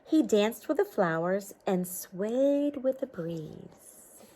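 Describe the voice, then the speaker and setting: Slow, animated woman reading to children. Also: medium pitch, a little hiss or hum. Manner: coaxing, asking listeners simple questions. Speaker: teacher reading a picture book to children. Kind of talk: expressive children's read-aloud with asides.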